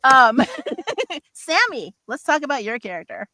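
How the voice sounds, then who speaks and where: A woman's voice: high-pitched, wildly swooping pitch, slow, bright, forceful tone, no room sound. A woman hosting a cast talk, chatting casually in a bubbly, joking manner. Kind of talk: casual chat.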